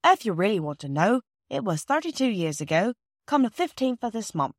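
A woman's voice: medium pitch, sing-song, a little fast, dry recording, clean studio sound, voice pushed up. A woman voicing a friendly railway porter in his thirties, plain-spoken and homely, in a storybook reading. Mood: genial, confiding. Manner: homely, ungrammatical, brief rustic speech.

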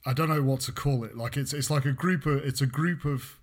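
A man's voice: mid-range voice, brisk. A young man from England with an English accent, co-hosting a casual podcast chat and rambling through many restarts. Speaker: podcast co-host.

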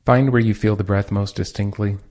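Man, meditation teacher, low pitch, very soft dark tone, calm, unhurried guidance. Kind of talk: guided meditation narration.

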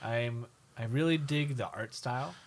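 A man's voice: mid-range voice, moderate pace, a crowd in the background. A man co-hosting a podcast, chatting casually and analytically. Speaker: podcast co-host. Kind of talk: casual discussion.

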